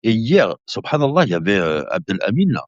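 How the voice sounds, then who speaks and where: A man in his late fifties talks casually on a live stream, calling his viewers brother and laughing as he rambles. His voice sits very low. The sound is dull and lo-fi, dry and close with no room around it. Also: fairly quick, no echo. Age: 50s. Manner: chatty, addresses viewers as brother; laughing often, relaxed rambling.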